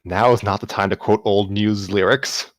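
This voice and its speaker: A man's voice: fairly low pitch, fairly even intonation, moderate pace, no echo, natural voice. A man voicing an anxious, defensive young woman in a fan fiction reading. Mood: exasperated amusement.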